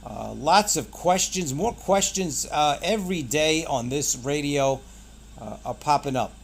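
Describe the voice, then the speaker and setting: Higher-pitched man, casual unboxing host. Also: animated, unhurried, creaky. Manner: short, clipped phrases, pointing things out. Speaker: product reviewer and channel host.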